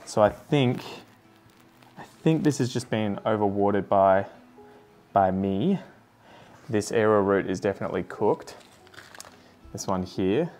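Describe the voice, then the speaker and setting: Aussie guy in his 30s, lowish voice, rambling casually about his houseplants. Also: expressive, a little slow, long pauses, darkish tone, soft background music. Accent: Australian. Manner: chatty, self-deprecating, thinks aloud. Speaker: plant vlogger. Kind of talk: casual chat while doing chores. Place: lounge room full of houseplants.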